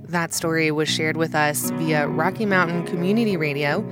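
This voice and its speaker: A woman hosting a local radio newscast in a steady announcer manner. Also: low voice, moderate pace, over loud music. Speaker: news host.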